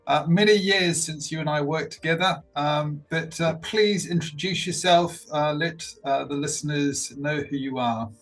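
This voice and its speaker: Host: man, higher register, conversational interviewer who hesitates often. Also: moderate pace, hardly any pauses, a bit bright, faint music behind. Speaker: podcast host. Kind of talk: interview hosting.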